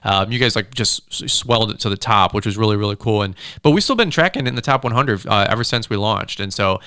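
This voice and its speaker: Fast-talking, bright-voiced man hosting a podcast. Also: on the low side, animated, clear, resonant tone, no room sound, studio quality. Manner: rambling, informal, bro-ish slang. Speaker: podcast host. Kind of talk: casual upbeat podcast chat. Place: podcast studio, indoors. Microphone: close studio microphone.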